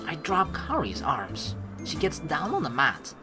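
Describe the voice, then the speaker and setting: A woman's voice: sits low, huge pitch swings, a little slow, over music. A woman with a playful, teasing manner, commentating on a game and reading its story text aloud. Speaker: let's player. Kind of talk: gaming commentary mixed with reading visual novel text aloud.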